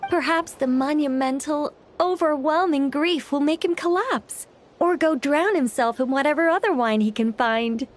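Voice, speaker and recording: A woman's voice: high-pitched, normal speed, a little hiss or hum, close to an everyday voice. A woman playing an eccentric, theatrical novelist in a video game, speaking formally and with great self-assurance. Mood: scheming, self-assured.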